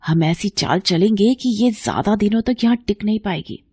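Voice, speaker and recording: A woman's voice: mid-range voice, animated, moderate pace, darkish tone, breathy edge, no echo, clean studio sound. A woman voicing a sly young daughter in a cartoon, coaxing and conspiratorial as she schemes. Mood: sly, persuasive. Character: sly young daughter plotting against her sister-in-law.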